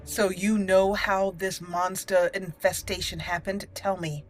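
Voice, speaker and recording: A woman voicing a stern, suspicious elf mage in a video game roleplay, firm and measured as she interrogates. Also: medium pitch, flat delivery, faint music behind, natural voice. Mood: stern, probing.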